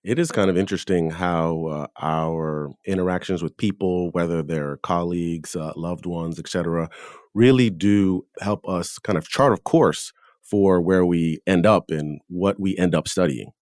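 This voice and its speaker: Deep-voiced man hosting a podcast. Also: steady tone, unhurried, darkish tone, no room sound, studio quality. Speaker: podcast host and interviewer. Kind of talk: scripted podcast introduction and interview.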